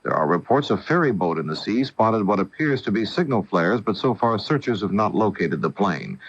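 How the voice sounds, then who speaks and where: An American man delivers a scripted network newscast as anchor. His voice is fairly low and recorded close and dry, with the dull sound of an old AM radio tape. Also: very animated, normal speed, slightly rough, no room sound.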